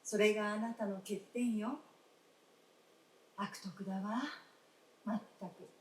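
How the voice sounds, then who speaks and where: A woman playing a frank, gentle wife in a radio drama, speaking in polite, old-fashioned feminine phrasing. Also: mid-range voice, steady tone, slow, natural voice. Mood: frank, reproving. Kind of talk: staged radio drama acting. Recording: roomy stage, distant mic, faint noise.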